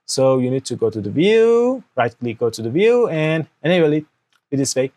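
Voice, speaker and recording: A man's voice: fairly high pitch, big pitch swings, moderate pace, mellow. A young man whose first language is Persian, explaining a computer walkthrough step by step at his desk into an external microphone, often checking with "okay?". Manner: explanatory, frequent "okay?" checks, non-native grammar. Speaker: tutorial host. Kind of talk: screen-recorded software tutorial. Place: indoor room at a desk.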